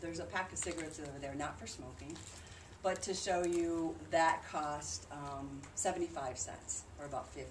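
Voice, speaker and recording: Female presenter, low voice, conversational explainer giving an informal group talk; noisy, echoing room recording. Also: steady tone, normal speed. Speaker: presenter reporting on a charity trip. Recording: distant mic in noisy, echoey room.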